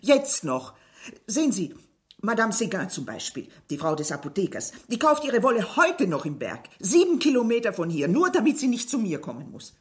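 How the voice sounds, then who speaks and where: A woman's voice: medium pitch, very animated, normal speed, natural voice. An actress playing a middle-aged, complaining village mother in a German radio drama, defensive and aggrieved. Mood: bitter, ashamed. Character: strict, bitter, complaining French village widow and mother.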